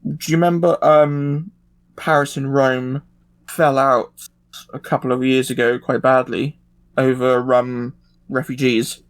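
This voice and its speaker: Gravelly, creaky-voiced man chatting. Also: mid-range voice, flat delivery, a little slow. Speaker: discussion panelist. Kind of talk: casual podcast chat.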